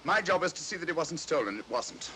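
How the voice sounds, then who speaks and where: An actor playing a sharp, self-assured English detective, crisp and probing, in vintage mystery film style. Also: male voice, fairly high pitch, lively intonation, fairly quick. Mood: coolly assured. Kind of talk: 1940s mystery film acting. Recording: noisy vintage film soundtrack.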